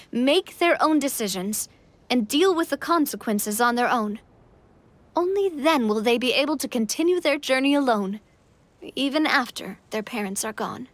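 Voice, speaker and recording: High-voiced, earnest young fantasy queen. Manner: earnest, reassuring, measured speech. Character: kind, composed young female monarch of a fantasy realm. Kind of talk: English-dubbed game cutscene acting.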